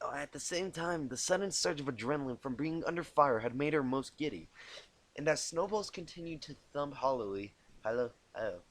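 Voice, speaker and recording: Amateur male story reader, animated delivery. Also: a little high, dry recording. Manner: self-deprecating, stumbles and corrects himself. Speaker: amateur fan fiction reader. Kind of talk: informal storybook narration with asides. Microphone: cheap home microphone.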